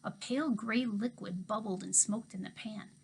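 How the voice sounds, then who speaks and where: A woman teacher reading a children's novel aloud to her class, expressive, dropping to a hush for asides. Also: mid-range voice, normal speed, vocal fry, husky. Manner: expressive storytelling, hushed asides.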